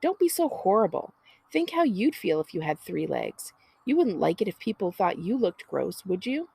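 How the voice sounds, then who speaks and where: A woman's voice: medium pitch, sing-song, normal speed, gravelly, warm tone, breathy edge, dry recording, exaggerated voice. A woman reading a storybook as a defiant, anxious younger sister of about eleven, earnest and indignant. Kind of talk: children's storybook character reading.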